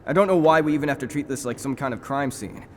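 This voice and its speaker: A man acts out a jaded police detective of medium pitch in an audio drama. He is plain-spoken, casual and matter-of-fact. His voice rings with long reverb over faint ambient noise. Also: quick pace, close to an everyday voice. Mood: dismissive.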